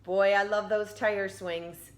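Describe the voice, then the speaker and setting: A woman's voice: medium pitch, fairly even intonation, slow, slight background noise. A woman teacher reading a story to young children, with simple, encouraging asides addressed to them. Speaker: elementary school teacher. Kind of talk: children's read-aloud with explanatory asides.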